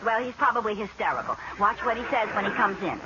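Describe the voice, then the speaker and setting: An actress playing a flighty, melodramatic wife in an old radio comedy, with theatrical, exaggerated delivery. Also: female voice, medium pitch, lively intonation, quick pace, close to an everyday voice. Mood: dramatic, indignant. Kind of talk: 1940s-50s radio comedy acting. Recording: muffled, hissy old radio broadcast.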